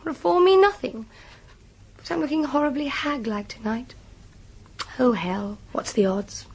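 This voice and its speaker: Posh english party girl, bored n flippant, bit husky, highish voice, radio play. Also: a little slow, full character voice, poor audio. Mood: bored, restless. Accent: upper-class English. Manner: languid, drawling, flippant. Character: bored, decadent upper-class young socialite woman, languid and flippant. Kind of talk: radio drama acting.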